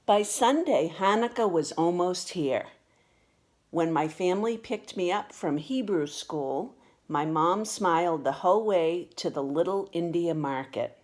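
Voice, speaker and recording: A woman narrates a children's story in a slightly low-pitched voice. Her pace is slow and deliberate, and she reads expressively, as a storyteller does with a picture book. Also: expressive, darkish tone. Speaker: storybook reader.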